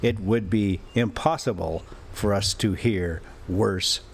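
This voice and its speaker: A man reading a classic adventure story aloud as a storyteller, in deliberate, halting phrases with an informal touch. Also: fairly low pitch, slight background noise. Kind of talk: audiobook-style reading with casual asides.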